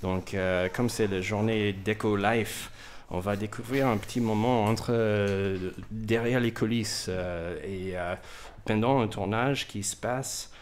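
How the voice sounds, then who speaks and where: Low-voiced man hosting in English-accented French. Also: fairly even intonation. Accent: English-speaking foreigner's. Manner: halting, loosely worded French with grammar slips. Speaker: radio host. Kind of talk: casual radio talk show. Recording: faint background noise.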